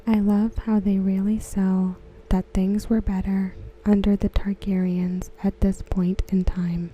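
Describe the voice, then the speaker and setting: Woman, ASMR reading, hushed and gentle, breathy near-whisper, dark mellow tone, flat even pitch, close mic. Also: unhurried, low-quality recording. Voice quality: soft, breathy, often slipping into whisper. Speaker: ASMR host. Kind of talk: ASMR, soft-spoken reading of discussion comments.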